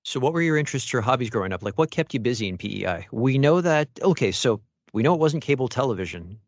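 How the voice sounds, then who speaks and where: A man hosting an interview podcast in a clear, presenter-like manner. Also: medium pitch, lively intonation, fast talker, no echo. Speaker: podcast host. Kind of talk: scripted podcast introduction and interview questions.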